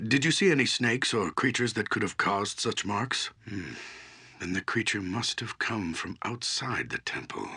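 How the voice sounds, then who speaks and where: An actor playing an aged, solemn druid in a fantasy game, with a husky, breathy voice and a halting delivery. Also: male voice, on the low side, pushed and bright, full character voice. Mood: grave, thoughtful.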